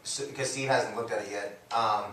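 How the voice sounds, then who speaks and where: A man's voice: fairly low pitch, fairly even intonation, crowd noise. Laid-back male co-host in an echoey room. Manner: laid-back, quick to laugh, teasing. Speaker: radio co-host. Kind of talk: casual banter on a local radio show. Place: radio studio. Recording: echoey room, distant mic, faint noise.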